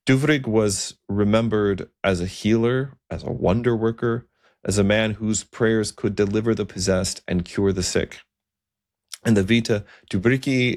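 Male podcast host, deep voice, loose storytelling style with ums and restarts. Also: darkish tone. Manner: conversational, many fillers and restarts.

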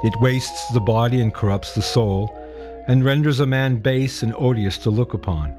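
A man reading a short homily excerpt in a grave, measured way. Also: mid-range voice. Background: music.

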